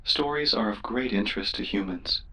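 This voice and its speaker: A man voicing a polite, cautious starship AI in a sci-fi game, speaking in a formal, deferential, measured way. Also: fairly low pitch, gravelly, bright, forceful tone, slightly rough, recorded from a distance, heavily acted, rough recording. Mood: curious. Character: polite, cautious male ship computer AI, formal and curious. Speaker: ship's computer voice assistant. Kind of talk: scripted sci-fi game voice pack.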